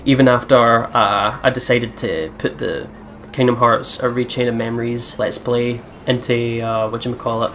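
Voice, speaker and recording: A man's voice: mid-range voice, fairly even intonation, normal speed, a bit bright, a little hiss or hum. A man giving casual, rambling gaming commentary with slang and swearing, sometimes reading text aloud. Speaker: let's play host. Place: at home at a computer setup. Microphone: desk microphone.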